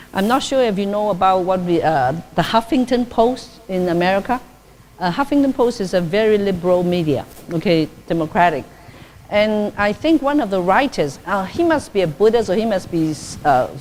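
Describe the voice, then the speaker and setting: Chatty nun with a friendly lilt. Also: female voice, mid-range voice, a little mellow, poor audio. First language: Cantonese. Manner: chatty, frequent tag "okay?", laughs easily. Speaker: Buddhist nun giving a dharma talk; publications director. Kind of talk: informal dharma talk.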